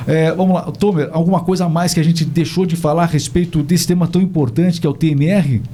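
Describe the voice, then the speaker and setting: Chatty podcast host, mellow voice. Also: male voice, on the high side, fairly even intonation, fast. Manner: chatty, frequent restarts and tag questions. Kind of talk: casual interview chat. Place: studio.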